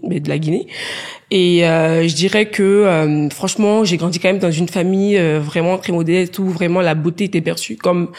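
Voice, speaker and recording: Lively woman. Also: on the low side. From Guinea. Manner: lively, many hesitations. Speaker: podcast guest. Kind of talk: casual podcast chat.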